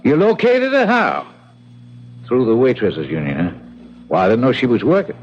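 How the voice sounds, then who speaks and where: An actor playing a cynical, wisecracking investigator with clipped colloquial talk in 1970s radio drama style. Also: male voice, mid-range voice, very animated, moderate pace. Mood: brisk, suspicious. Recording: muffled 1970s radio broadcast.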